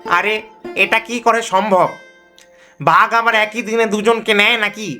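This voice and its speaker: A man's voice: high-pitched, steady tone, measured pace, bright, forceful tone, soft background music, heavily acted. A man voicing a skeptical, nervy cartoon village fisherman with a questioning, exclamatory way of talking. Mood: incredulous. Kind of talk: animated cartoon dialogue.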